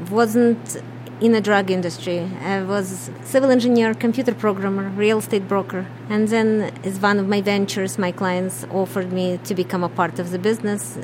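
Woman, Russian accent, middle-aged, matter-of-fact, slight background noise. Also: mid-range voice. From Ukraine. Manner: plain, matter-of-fact, non-native grammar. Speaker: business co-owner. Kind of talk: radio interview answers.

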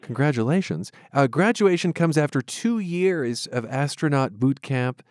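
A man's voice: mid-range voice, expressive, measured pace, a little mellow, dry recording. American male radio host, dry close sound. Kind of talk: scripted narration and interview.

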